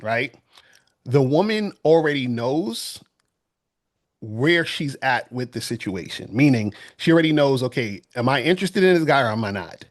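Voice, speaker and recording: A man lays out his argument in an online debate at a mid pitch. He explains step by step and keeps checking that his listener follows him. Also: big pitch swings, moderate pace. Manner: explanatory, keeps checking "you see what I'm saying". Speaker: dating coach.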